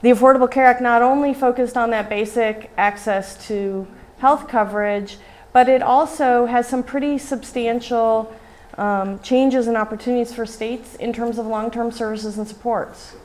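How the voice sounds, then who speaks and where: A middle-aged woman giving a conference keynote at a podium microphone in a hotel hall, animated and often asking "right?". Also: on the high side, normal speed. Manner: animated, gestures widely, asks rhetorical "right?". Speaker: keynote speaker.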